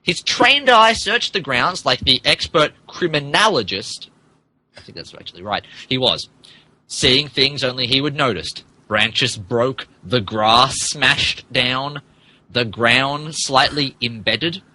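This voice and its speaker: A man's voice: medium pitch, animated, takes it slowly, pushed and bright, poor audio. A man giving a mock-serious, theatrical comic narration of fan fiction on a podcast.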